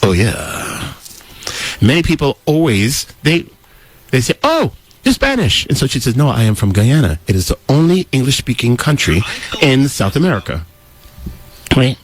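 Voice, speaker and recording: Male talker, opinionated explainer and storyteller, emphatic delivery with highly animated intonation. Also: moderate pace, slight background noise. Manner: emphatic, acts out quoted remarks. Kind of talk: opinionated explanatory talk with anecdotes.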